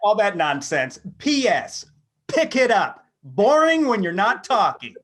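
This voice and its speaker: A man's voice: sits high, very animated, unhurried, a bit bright, slight background noise. Joking man with swooping intonation. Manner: sarcastic, self-deprecating, joking. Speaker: co-host, competitor. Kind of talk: casual comic chat. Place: remote video call.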